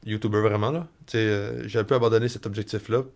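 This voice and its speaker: A man with a Canadian French accent hosting a podcast, easygoing and quick to agree in casual chat. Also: fairly low pitch, fairly even intonation, gravelly, a little mellow. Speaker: podcast host.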